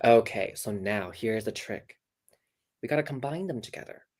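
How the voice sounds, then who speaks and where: A woman voice coach talking casually on a video call, animated and demonstrative, with many fillers and restarts. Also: rumbling low, normal speed. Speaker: voice coach guest. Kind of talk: casual interview, teaching.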